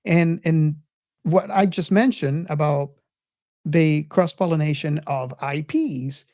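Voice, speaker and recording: A woman's voice: sits low, slow pace, warm tone, no room sound, studio quality. A woman hosting a podcast in a casual, enthusiastic and earnest way, often repeating and restarting her words. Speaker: podcast host.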